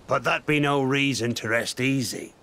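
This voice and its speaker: A man voicing a gruff, scheming old captain who growls his lines. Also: medium pitch, moderate pace, sharp tone. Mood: defensive. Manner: growling pirate speech.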